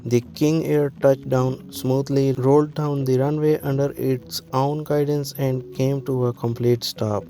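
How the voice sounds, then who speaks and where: A man's voice: medium pitch, little pitch movement, measured pace, few pauses, dark tone, soft background music. A man reading scripted documentary narration as a narrator.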